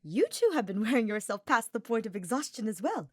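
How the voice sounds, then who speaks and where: A woman's voice: a little high, big pitch swings, fairly quick, a little mellow, slightly rough, no room sound, clean studio sound, pitched above the performer's own voice. Woman voicing a genial, noble male holy knight. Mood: amused.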